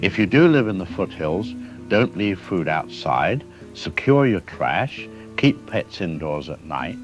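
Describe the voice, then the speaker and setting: A man playing a careful, deliberate wildlife expert doctor interviewed on the news in an audio drama. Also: sits low, big pitch swings, dull audio, soft background music. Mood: measured concern. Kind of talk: broadcast interview acting.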